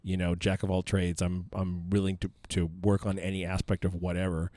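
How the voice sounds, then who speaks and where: A man co-hosting a podcast, chatting casually and haltingly with fillers and restarts. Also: sits low, monotone, a little mellow, dry recording. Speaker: podcast co-host. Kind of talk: casual chat.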